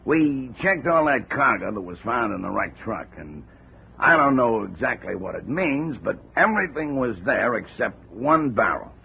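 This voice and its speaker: An actor playing a chatty insurance contact in an old radio drama. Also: male voice, mid-range voice, very animated, normal speed, gravelly, slight background noise. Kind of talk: 1950 radio drama acting. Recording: tinny old radio broadcast.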